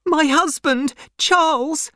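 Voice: high-pitched, slow pace, bright, forceful tone, no echo, studio quality, pitched above the performer's own voice, exaggerated voice. A narrator playing a distraught young wife with a pleading, dramatic manner. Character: distraught young wife, desperate for her husband.

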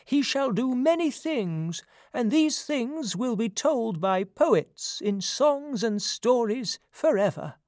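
An ancient blind prophet from a storybook myth acted out by a narrator, gentle and solemn. The old man's voice sits high, and he speaks slowly and with great weight. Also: sing-song, no echo, studio quality. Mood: solemn, reassuring. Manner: solemn, measured prophetic delivery.

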